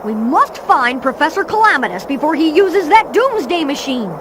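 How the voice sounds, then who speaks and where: High-voiced brainy cartoon boy. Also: big pitch swings, normal speed, heavy background noise. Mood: resolute. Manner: pedantic, matter-of-fact. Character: brainy, skeptical, pedantic cartoon boy genius. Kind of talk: cartoon video game acting.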